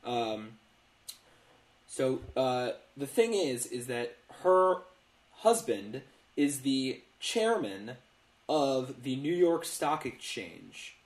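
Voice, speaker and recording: A man recorded in a roomy, echoey space talks as a podcast co-host. His pitch is middling, and he explains casually, stammering over repeats and then landing hard on his points. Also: sing-song, extremely slow.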